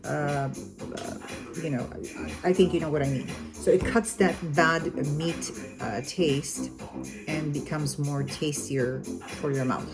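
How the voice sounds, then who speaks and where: A woman's voice: deep voice, fairly even intonation, unhurried. A woman from Armenia with an Armenian accent, chatting casually through a cooking vlog in her home kitchen, rambling with lots of fillers and self-corrections. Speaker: cooking vlogger. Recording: loud background music.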